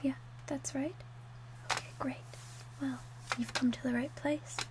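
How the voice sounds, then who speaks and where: Hushed, high-voiced woman playing a witch. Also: steady tone, background noise. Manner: slow, gentle, hushed, deliberate delivery. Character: calm, helpful female witch selling love potions. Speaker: ASMR roleplayer. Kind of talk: ASMR roleplay, soft-spoken and whispered.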